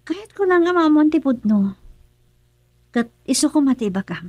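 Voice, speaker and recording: Woman doing a high-voiced ilocano young wife, emotional, accusing, dry studio sound. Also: expressive, normal speed, a little mellow, no room sound. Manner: pleading then accusing, emotional. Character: young wife suspecting her husband. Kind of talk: radio drama acting.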